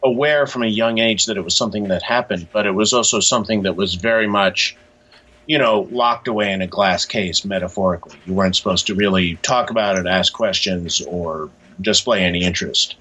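Male interview guest, forceful raspy edge, measured and reflective, on a slightly muffled lo-fi line. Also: low-pitched. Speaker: interview guest, graphic novelist. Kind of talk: casual reflective interview answers.

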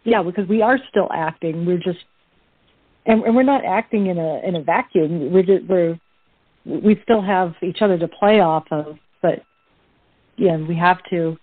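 Low-voiced woman on a phone-like line. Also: moderate pace. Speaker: radio play actress, interview guest. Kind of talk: casual interview chat. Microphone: computer or phone. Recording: thin phone-like line, roomy echo.

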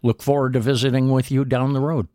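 A man hosting a radio show, interviewing a guest and introducing segments in a measured, announcer-like manner. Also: medium pitch, flat delivery, warm tone, dry recording, studio quality.

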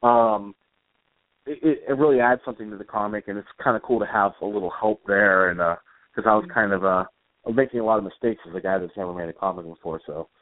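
Guy chatting casually in an interview, kinda halting and rambly, sounds like hes on a phone call. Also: fairly low pitch, no room sound. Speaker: guest, comic book author.

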